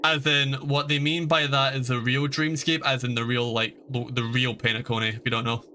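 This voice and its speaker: A man's voice: medium pitch, flat delivery, brisk, sharp tone, slight background noise. A man giving casual, opinionated live-stream commentary on a game, explaining things to his viewers as he plays.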